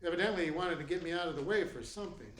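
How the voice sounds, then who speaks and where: Male actor as wry hard-boiled detective, halting slangy speech, radio drama, echoey, noticeable noise. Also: a little high, natural voice. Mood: wry, urgent. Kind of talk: 1940s-style radio play acting. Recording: echoey room, clear background noise.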